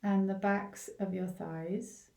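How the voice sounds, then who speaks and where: A woman leading a guided body scan meditation in a gentle, unhurried way, leaving long pauses between short phrases. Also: mid-range voice, steady tone, gravelly. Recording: roomy, echoing, distant from the mic.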